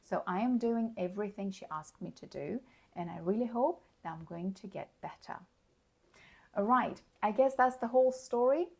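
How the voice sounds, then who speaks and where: A hoarse woman giving a slow, clear English lesson, explaining words plainly and checking in with the listener. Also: mid-range voice, animated, darkish tone. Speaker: English teacher. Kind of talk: slow, clear teaching monologue.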